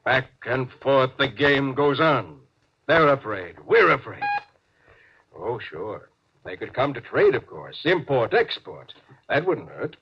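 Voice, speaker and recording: An actor playing a suspicious, domineering spaceship captain in a 1950s radio drama, sardonic and commanding. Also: male voice, mid-range voice, sing-song, slow, fairly bright tone, slightly rough, exaggerated voice. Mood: brooding, sardonic. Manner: sardonic, domineering, clipped orders. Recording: noisy, dull 1950s radio recording.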